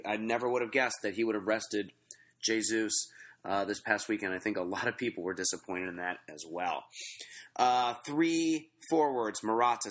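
Lowish-voiced male podcast host with a cold. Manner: relaxed and chatty, with many fillers. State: stuffy from a cold, sniffling. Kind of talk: casual podcast talk reading out fantasy football stats.

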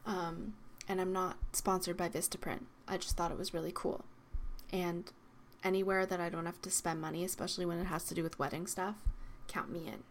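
A young woman hosting her own podcast, talking in a soft, reflective way with frequent ums and likes, her voice slightly worn. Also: on the low side, flat delivery, fairly quick, a little hiss or hum. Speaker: podcast host. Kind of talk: solo podcast monologue. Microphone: podcast microphone.

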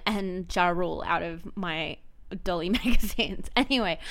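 Aussie woman in her 30s chatting on her podcast, really clear smooth voice, kinda wry. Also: a little high. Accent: Australian. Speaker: podcast host. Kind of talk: chatty solo podcast monologue.

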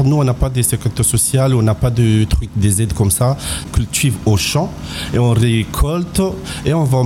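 A man's voice: medium pitch, noisy background. A man from the Comoros, a native Comorian speaker, talking casually with loose, repetitive phrasing. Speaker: interviewee. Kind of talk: casual conversation.

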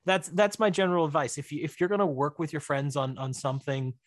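A man's voice: fairly high pitch, steady tone, fast talker, dry recording, studio quality. A man chatting casually as a podcast guest, informal and prone to repeating phrases for emphasis. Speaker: guest, writer-director.

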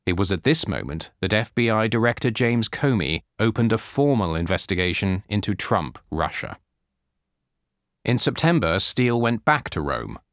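A man reading nonfiction as an audiobook narrator, measured and clearly articulated, in a scripted style. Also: low-pitched, fairly bright tone, dry recording, studio quality. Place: dry studio recording.

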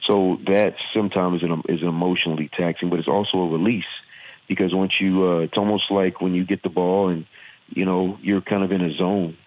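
A man's voice: low voice, fairly even intonation, brisk. A man from Virginia with a Southern accent, an actor and former football player, giving earnest, reflective interview answers with many fillers. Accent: Southern American. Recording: tinny phone-like line.

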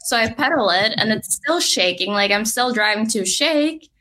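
A young woman from Norway with a Norwegian accent, telling her racing story as an interview guest, lively and full of "like". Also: fairly high pitch, moderate pace, low-quality recording. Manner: lively, fillers of "like", laughs easily. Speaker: drag racing driver guest.

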